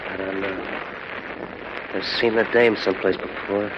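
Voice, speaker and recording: An actor playing a sullen, slangy gangster thug plotting from the shadows in a 1940s radio drama. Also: male voice, low voice, little pitch movement, moderate pace, close to an everyday voice. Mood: sullen, suspicious. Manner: tough underworld slang. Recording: noisy muffled old radio recording.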